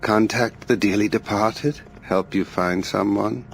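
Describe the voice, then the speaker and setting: Game cutscene role: fortune-teller's tout, fairly low voice, theatrical courtesy, ingratiating and mysterious. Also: male voice, steady tone, measured pace, creaky, a little hiss or hum. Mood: wheedling. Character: ingratiating male fortune-teller's front man, mysterious huckster. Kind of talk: video game cutscene acting.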